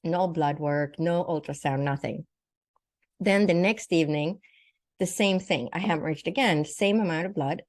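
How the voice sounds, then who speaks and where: An older woman who grew up in Sweden recounts a personal experience in an interview. Her voice sits a little low, and the sound is dry, with no room echo. She tells things step by step in vivid detail, acting out what people said. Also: moderate pace. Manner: vivid, detailed telling with acted-out quotes. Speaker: guest, naturopathic doctor and spiritual teacher.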